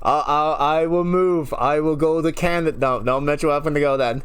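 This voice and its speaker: A young man streaming live, rambling casually to his viewers and often losing track mid-thought. His voice sits a little high and sounds smooth and clear, with a slight unusual lilt. He chuckles often as he talks. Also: brisk, a bit bright. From United States (New York). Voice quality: slight unusual lilt left from a childhood speech impairment.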